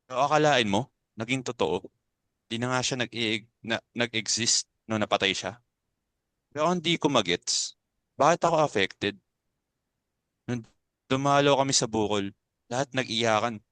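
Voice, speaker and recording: Man acting a sensitive college boy, clear voice. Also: medium pitch, moderate pace, long pauses, fairly bright tone, no room sound, lightly acted. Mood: puzzled, wounded. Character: naive, sensitive young male college student, bullied son. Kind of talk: dramatic stage reading in Tagalog.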